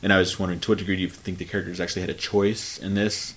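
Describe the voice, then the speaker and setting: A man with a very deep voice poses a long, winding interview question. He hesitates and circles as he builds toward his point. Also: flat delivery, very fast, a bit bright.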